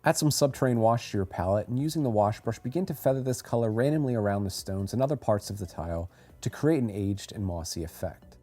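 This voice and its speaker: A man reading a scripted how-to voice-over as a tutorial narrator, giving clear step-by-step instructions. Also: fairly low pitch, lively intonation, quick pace, hardly any pauses, dark tone, faint music behind.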